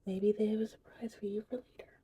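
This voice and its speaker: A woman playing a gentle, whimsical witch in an ASMR roleplay, speaking in a soft, breathy, soothing whisper. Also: mid-range voice, level tone. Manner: slow, soothing, unhurried. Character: gentle, whimsical female witch teaching potion making. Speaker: ASMR performer.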